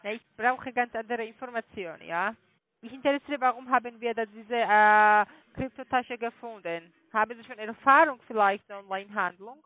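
A woman's voice: a little high, moderate pace, no echo. A woman call centre agent who is not a native German speaker, mumbling insistent sales questions. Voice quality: mumbled, indistinct diction. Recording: dry narrow phone line.

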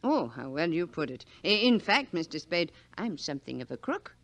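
An actress playing a middle-aged, flustered society aunt in a 1940s radio drama, stammering and speaking in flowery melodrama. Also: female voice, low-pitched, sing-song, normal speed, fairly bright tone, exaggerated voice. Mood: flustered confession. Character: theatrical, flustered society aunt, shady and self-pitying.

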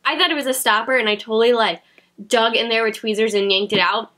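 Woman in her thirties, slightly high voice, bright and sharp tone, nasal from a cold, chatty and giggly, home room. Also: fairly even intonation, fast talker. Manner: chatty, giggly, self-mocking. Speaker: beauty vlogger. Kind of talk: casual haul chat to camera. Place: home beauty room with shelves.

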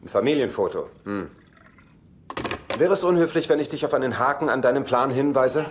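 An actor playing a sardonic, scheming older brother in a sitcom dub, glib and mock-sincere. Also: male voice, mid-range voice, expressive, a little fast, background noise, lightly acted. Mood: suspicious, sardonic. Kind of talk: German sitcom dub acting.

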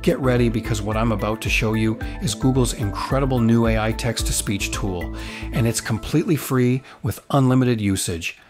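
Guy doing hyped tutorial voiceover, mid pitch, music playing behind him. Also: moderate pace. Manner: enthusiastic, promotional. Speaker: tutorial host. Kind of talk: upbeat scripted tutorial narration. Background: background music.

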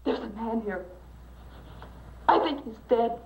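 A woman's voice: on the high side, animated, takes it slowly, reverberant, background noise. An actress playing a frightened young woman who pleads in a shaken voice in a 1950s TV crime drama. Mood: panicked.

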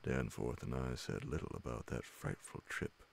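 A middle-aged man reading a horror story in a hushed, measured ASMR audiobook style, pausing often, close to a studio microphone. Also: very deep voice, steady tone, gravelly, slightly rough. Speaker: narrator reading classic horror stories. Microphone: large studio condenser microphone close beside him, headphones on.

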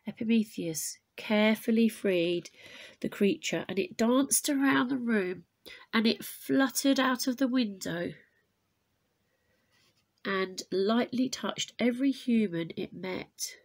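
A woman teacher reading a children's picture book aloud expressively, with deliberate, dramatic emphasis on key words. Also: on the high side. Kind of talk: expressive storybook read-aloud.

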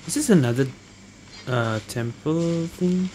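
A woman doing casual gameplay commentary as a streamer, muttering halting reactions. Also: low-pitched, lively intonation, mellow. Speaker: gaming streamer. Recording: noisy with game ambience behind. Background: game ambience.

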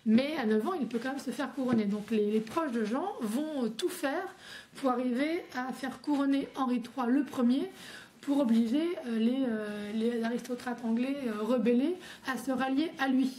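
A woman's voice: high voice, normal speed, darkish tone, slight background noise. A woman historian explaining the period at length, with frequent hesitations and restarts. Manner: hesitant, many fillers and restarts. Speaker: historian guest. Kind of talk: academic explanation.

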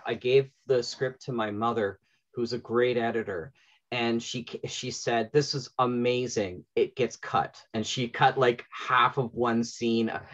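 A middle-aged man hosts a panel in a conversational interview style. His pitch is average for a man, and the video call leaves the sound a little dull. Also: a bit bright. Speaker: panel host. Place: indoor room at home, video call.

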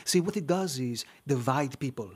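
Earnest immigrant, husky, middle pitch, firm, radio drama. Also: male voice, animated, normal speed. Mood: warning. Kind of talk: audio drama acting.

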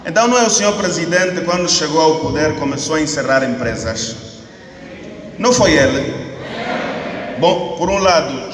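An Angolan man in his thirties delivers a political statement from a podium, hammering home rhetorical questions and repeating lines for emphasis. His voice fills a reverberant hall, picked up by a mic at some distance, with a faint hum of the room behind him. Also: fairly high pitch. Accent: Angolan Portuguese. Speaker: opposition party spokesman. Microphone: podium mic on a stand, camera at a distance. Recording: echoey hall recording from the camera, faint ambient noise.

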